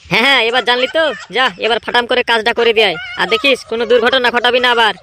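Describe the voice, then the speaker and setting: A man's voice: extremely high pitch, fairly even intonation, racing, pushed and bright, slight background noise. A man voicing an irritable, scheming village gang boss in a comedy cartoon, scolding and repeating himself emphatically. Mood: bossy, scolding. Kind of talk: exaggerated comedy cartoon dialogue.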